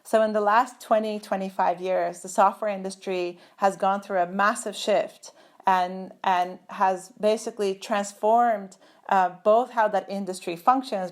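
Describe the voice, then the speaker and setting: Woman guest, middle-aged, from Turkey; thoughtful, filler-heavy delivery on a video call. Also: medium pitch. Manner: thoughtful, many fillers and restarts. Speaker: guest, computer scientist. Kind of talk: conversational interview.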